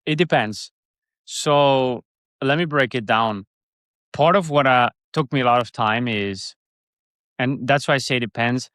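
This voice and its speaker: A man with a Swiss German accent chats as a podcast guest, circling his points with restarts and fillers. His voice sits in a middle pitch range. The sound is close and dry, with no room around it. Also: unhurried, pauses often, fairly bright tone, no echo. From Switzerland. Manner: rambling, many restarts and fillers. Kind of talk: casual interview chat.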